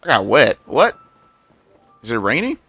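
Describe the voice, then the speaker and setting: A man's voice: mid-range voice, huge pitch swings, a little slow, pushed and bright, husky, dry recording. A man streaming a video game, making offhand, casual commentary and muttering to himself. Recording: game music behind.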